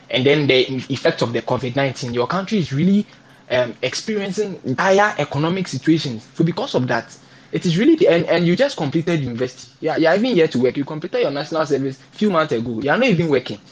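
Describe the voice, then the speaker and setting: A man's voice: medium pitch, slightly rough, rough recording. A young man from Ghana with a Ghanaian English accent, giving motivational advice and acting out the emails he sent. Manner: animated, repeats key points, acts out emails he wrote. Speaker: scholarship guest speaker.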